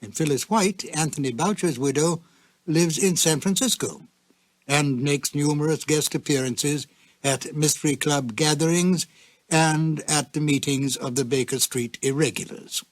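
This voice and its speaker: Male presenter, slightly high voice, scripted introductions, dry studio sound with faint music. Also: a little slow, no echo. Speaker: host.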